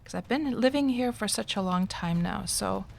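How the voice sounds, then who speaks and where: Expressive middle-aged woman chatting. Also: mid-range voice, normal speed, creaky. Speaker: live streamer. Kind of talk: casual chat with stream viewers.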